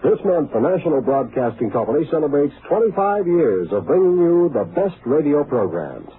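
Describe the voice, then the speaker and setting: A man's voice: a little high, sing-song, moderate pace, a little hiss or hum. A man reading a promotional announcement as a 1950s radio network announcer. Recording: narrow-band old radio recording.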